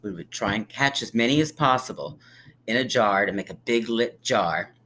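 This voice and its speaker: A man reading a picture book aloud to young children like a teacher, with emphatic pauses and encouraging, friendly asides. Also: lowish voice, animated, fairly bright tone. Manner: encouraging, inviting listeners to count along; drawn-out, emphatic storytelling delivery with dramatic pauses.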